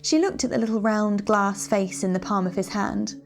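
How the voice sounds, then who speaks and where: A woman reading a literary story as audiobook narration, with a measured, descriptive storytelling delivery. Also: medium pitch, creaky. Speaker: story reader.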